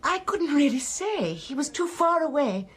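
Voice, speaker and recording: A woman's voice: high voice, sing-song, moderate pace, a bit bright. An actress playing a prim, gossipy lady witness, chatty and deferential in a radio drama. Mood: eager, gossipy. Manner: chatty, prim, eager to tell.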